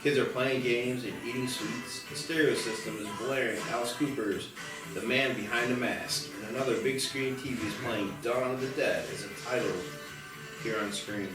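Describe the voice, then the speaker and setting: A man's voice: mid-range voice, steady tone, normal speed, over music. A man reading out screenplay stage directions as narrator at a table read. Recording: echoey room, distant mic, faint noise.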